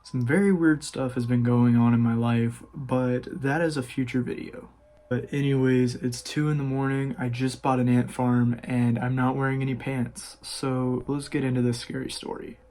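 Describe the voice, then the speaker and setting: A man's voice: mid-range voice, flat delivery, moderate pace, dark tone. A man hosting a scary-story video, narrating informally and speaking directly to his viewers. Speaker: horror story YouTuber, host.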